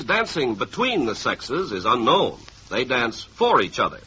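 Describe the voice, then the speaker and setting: Old time radio announcer guy, super lively pitch, very bright forceful voice, scripted, dull recording. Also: a little hiss or hum. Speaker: radio announcer addressing military listeners. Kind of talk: scripted 1950s radio narration.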